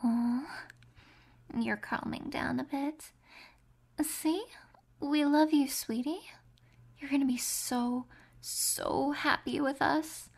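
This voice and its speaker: Woman doing a clingy, possessive captor girl in an audio roleplay, husky whisper with fry, slow and cooing. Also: high-pitched, steady tone, heavily acted. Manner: soft whispering, cooing pet names. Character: two doting, possessive young women captors.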